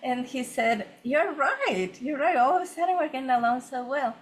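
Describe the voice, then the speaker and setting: A woman's voice: sits high, animated, normal speed. Woman from ecuador telling her feng shui story, lots of ums, bit of noise behind. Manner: earnest, many fillers and restarts. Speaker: feng shui consultant guest. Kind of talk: conversational storytelling interview.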